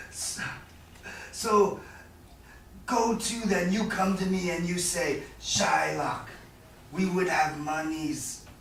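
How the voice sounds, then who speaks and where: A man acting a bitter, sly moneylender in a Shakespearean staged reading, with a hesitant, drawn-out, chuckling delivery. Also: high-pitched, steady tone, gravelly, a bit bright, exaggerated voice. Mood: bitter, mocking. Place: live staged reading in a reverberant room. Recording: echoey room, distant mic, faint noise.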